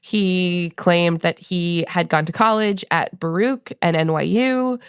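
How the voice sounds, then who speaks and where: A woman's voice: lowish voice, takes it slowly, clean tone, no room sound, studio quality. An American woman from Long Island, a podcast producer, telling a reported story in a conversational narrative style. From Long Island, New York, United States.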